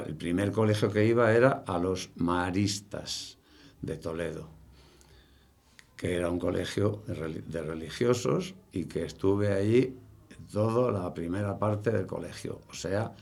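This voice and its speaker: Older man, early 70s, Castilian accent, deep and very gravelly, halting, informal recollections. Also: a little mellow. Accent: Castilian Spanish. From Spain. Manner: halting, repeats words as he recalls. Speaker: interviewee. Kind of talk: casual reminiscing.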